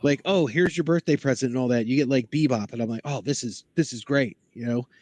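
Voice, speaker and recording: A man's voice: mid-range voice, fast talker, darkish tone, no echo. A man in his forties, a guest on a fan show, reminiscing casually and acting out little quotes as he goes. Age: 40s. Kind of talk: casual chat, nostalgic reminiscing.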